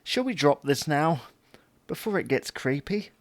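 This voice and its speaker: A British actor playing a hapless middle-aged husband in a domestic audio sitcom, mild, put-upon and wryly grumbling. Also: male voice, a little high, very animated, measured pace, no room sound. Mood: deadpan.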